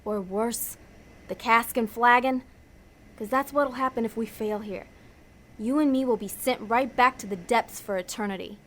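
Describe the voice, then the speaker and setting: A woman's voice: fairly high pitch, normal speed, natural voice. A woman playing a cynical, hard-nosed demon schemer in an audio drama, blunt and insistent in everyday ungrammatical speech.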